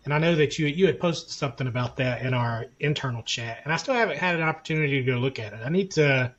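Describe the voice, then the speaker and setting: A man's voice: mid-range voice, quick pace, a bit bright. A man in a casual podcast discussion, conversational and loosely rephrasing as he explains. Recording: slightly dull, lo-fi sound.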